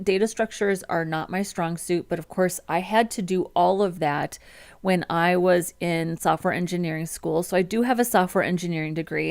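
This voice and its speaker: Chatty Midwestern American woman, low voice. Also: gravelly. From United States. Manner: relaxed, chatty, many ums and restarts. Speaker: web developer streamer. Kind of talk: casual livestream chat reading comments.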